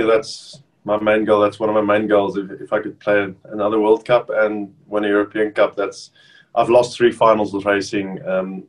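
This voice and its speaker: A South African man in his thirties, whose first language is Afrikaans, chatting casually over a video call as an interview guest with relaxed restarts. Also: deep voice. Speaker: rugby player guest. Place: bedroom at home, indoors.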